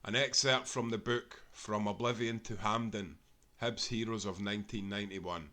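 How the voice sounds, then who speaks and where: A man reading a football history book aloud in a steady, measured way, speaking as a proud supporter of his club. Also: fairly low pitch. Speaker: audiobook reader.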